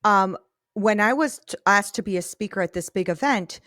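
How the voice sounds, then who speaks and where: A woman's voice: medium pitch, normal speed, fairly bright tone, no room sound, studio quality. A woman hosting an interview podcast, chatty and emphatic, often checking in with "right?". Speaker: podcast host.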